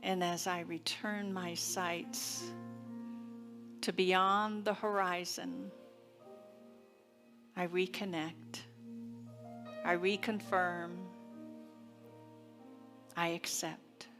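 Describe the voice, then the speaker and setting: Woman preacher leading a prayer, really slow n deliberate, lots of pauses, bit of music under it. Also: on the low side, flat delivery. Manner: deliberate, reverent, with long pauses. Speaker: minister leading a service. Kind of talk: sermon and guided prayer. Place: church service.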